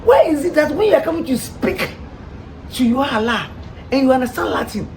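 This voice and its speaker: High-voiced Ghanaian woman preaching. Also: big pitch swings, fairly quick, darkish tone. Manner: rhetorical, repeated questions with laughs. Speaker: street preacher. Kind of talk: preaching to listeners. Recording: noisy ambient background.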